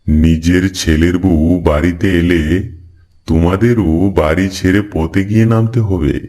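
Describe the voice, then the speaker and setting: Man playing slow, deep-voiced holy Brahmin. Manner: measured, sermonizing, gentle address. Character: god disguised as wise, solemn Brahmin. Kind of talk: Bengali moral folk-tale cartoon dub.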